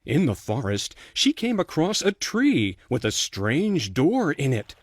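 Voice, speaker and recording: A man's voice: a little high, huge pitch swings, measured pace, slightly rough, no room sound. A man narrating a scripted children's storybook tale as its storyteller. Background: faint music.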